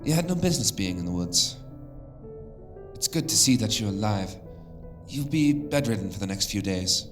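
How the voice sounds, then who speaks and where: Man playing low-voiced, stern, expressive king. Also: moderate pace, over music, close to an everyday voice. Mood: stern, musing. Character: stern older king and father, worldly, cynical. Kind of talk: audio drama acting.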